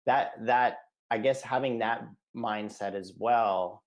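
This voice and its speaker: A man with a hoarse, fading voice, answering an interview question casually with many fillers and restarts, clearing his throat now and then. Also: medium pitch, takes it slowly. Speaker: marketing director, podcast guest.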